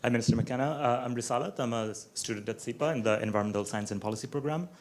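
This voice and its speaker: A man's voice: medium pitch, a little mellow. A male student asking a question from the audience, hesitant and full of "uh" and "like". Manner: rapid, hesitant, lots of "uh" and "like".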